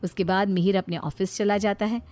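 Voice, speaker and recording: A woman's voice: medium pitch, steady tone, fairly quick, a little mellow. A woman reading scripted narration for a moral story.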